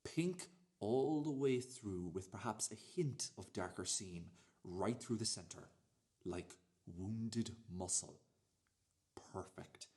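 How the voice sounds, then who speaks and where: A man acting in a radio play as a cocky, sweary, smug storyteller who speaks in halting, repetitive phrases. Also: fairly low pitch, darkish tone. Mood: smug, hushed relish. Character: cocky, sweary man smugly recounting a dinner date. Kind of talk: radio play dramatic monologue.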